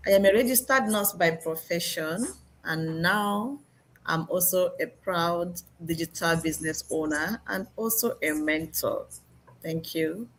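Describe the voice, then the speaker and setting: Woman, from Nigeria, nurse, slightly low pitch, clear polite delivery. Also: a little slow, slight background noise, low-quality recording. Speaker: panel guest, registered nurse. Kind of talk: online panel introduction.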